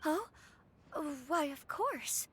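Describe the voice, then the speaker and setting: High-voiced, polite young noble lady. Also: animated, drawn-out words, slight background noise, exaggerated voice. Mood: polite wonder. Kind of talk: video game voice acting.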